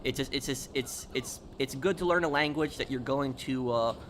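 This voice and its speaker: A man's voice: medium pitch, fairly bright tone. Male streamer, noisy background. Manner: halting, repetitive, explanatory, emphatic. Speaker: livestreamer. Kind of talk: casual gaming stream chat, answering viewers.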